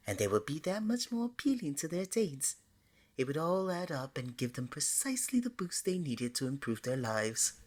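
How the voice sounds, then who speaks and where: A woman voicing a flirty, affectionate god of love in a playful, coaxing ASMR romance roleplay, with a breathy delivery. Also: sits low, full character voice. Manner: coaxing, playful, pet names like sweetie and honey.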